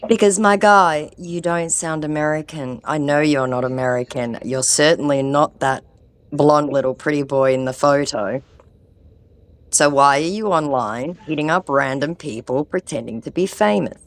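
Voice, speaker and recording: A woman's voice: sits low, normal speed, no room sound. A woman with an ear infection, mocking a phone scammer in a sarcastic, confrontational way. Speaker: scam-baiting vlogger. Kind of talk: confronting a phone scammer, then chatting to viewers.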